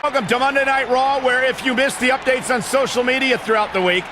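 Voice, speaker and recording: A man playing an excitable play-by-play wrestling announcer in a video game, over noise. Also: extremely high pitch, fairly quick, crowd noise. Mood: excited. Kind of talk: excited sports commentary.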